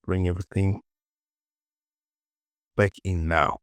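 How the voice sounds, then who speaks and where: A man narrating a casual step-by-step software tutorial in short instructions, often repeating phrases and asking himself questions. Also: deep voice, level tone, slow, smooth, no echo.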